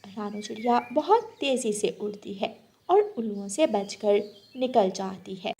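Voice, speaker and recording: A woman's voice: medium pitch, darkish tone, husky. A woman delivering scripted narration for a children's story.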